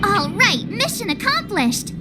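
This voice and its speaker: A woman playing a bright, cheeky, excitable young adventurer in a video game, with a playful teasing manner. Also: extremely high pitch, a little slow, heavy background noise, heavily acted. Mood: cheerful. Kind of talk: video game character acting. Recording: loud music behind the voice.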